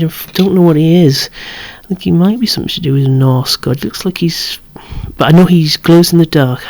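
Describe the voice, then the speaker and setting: A person in a soft, breathy whisper, rambling hesitantly and correcting themselves while showing off a collection in ASMR style. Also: medium pitch. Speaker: collector presenting a vintage toy collection. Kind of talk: whispered ASMR show-and-tell.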